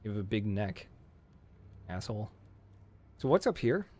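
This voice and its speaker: A man with a hoarse voice, casually commenting on his gameplay and joking at the enemies on screen. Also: on the low side, sing-song, moderate pace, warm tone. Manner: jokey, talks to enemies on screen. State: hoarse from screaming. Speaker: game streamer.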